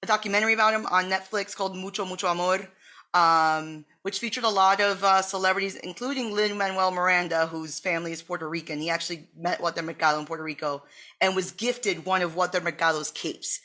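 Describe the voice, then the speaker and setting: A woman's voice: on the low side, steady tone, fast, pushed and bright. Brash, profane woman comedian from Miami. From Miami, USA. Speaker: comedian guest. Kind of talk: casual chat.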